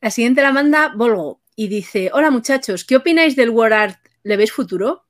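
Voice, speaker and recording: A woman's voice: a little high, lively intonation, moderate pace, no echo, studio quality. A woman with an accent from Spain hosts an interview in an informal, chatty way. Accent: Spain Spanish. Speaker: interview host. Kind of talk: casual hosting, reading audience questions.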